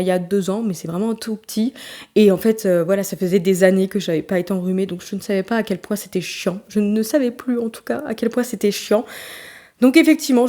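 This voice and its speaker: A woman's voice: mid-range voice, mellow. A young woman with a cold, talking through a blocked nose as she chats casually to her viewers about her reading, rambling with many fillers and restarts. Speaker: book vlogger. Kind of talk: casual chat to camera.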